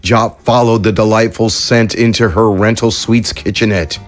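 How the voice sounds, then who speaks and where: Low-voiced man narrating a sci-fi story. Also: steady tone, moderate pace, soft background music. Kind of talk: scripted audiobook narration.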